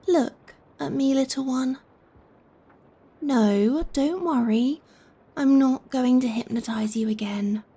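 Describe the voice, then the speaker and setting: A woman playing a gentle, possessive giant vampire in an ASMR roleplay, coaxing and softly commanding the listener. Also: sits high. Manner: slow, coaxing, softly commanding, breathy sighs and chuckles.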